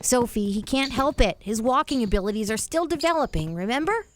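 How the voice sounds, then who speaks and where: A woman's voice: fairly high pitch, expressive, a little fast, slight background noise, natural voice. A woman playing a kind, eager young boy in a children's audio drama. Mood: gentle, encouraging.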